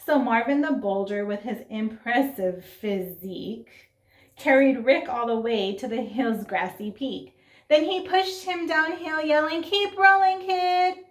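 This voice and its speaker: A woman reading a children's story aloud in an expressive, dramatic way, with asides and questions to young listeners. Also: fairly high pitch, a little slow. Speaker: storybook reader. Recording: roomy, echoing sound.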